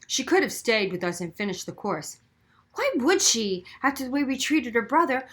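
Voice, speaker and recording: A woman's voice: a little high, very animated, normal speed, fairly bright tone. A woman reading the part of an upset, outspoken gifted schoolgirl in an audiobook, emphatic and insistent. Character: upset, outspoken gifted middle-school girl, arguing passionately. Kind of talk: acted audiobook dialogue.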